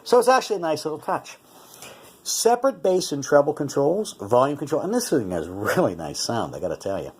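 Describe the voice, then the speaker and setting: A man's voice: on the high side, big pitch swings, fairly quick, breathy edge. A man giving a casual, explanatory product demonstration as a reviewer, conversational and often correcting himself. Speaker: radio reviewer.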